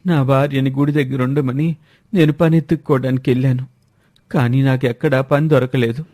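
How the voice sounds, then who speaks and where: A man's voice: mid-range voice, steady tone, brisk, dark tone, close to an everyday voice. A man voicing a destitute migrant farmer in a village storybook, humble and plaintive. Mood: despairing.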